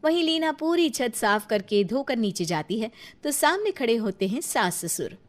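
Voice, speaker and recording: A woman narrating a scripted comic moral story in an animated storytelling style. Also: a little high, normal speed.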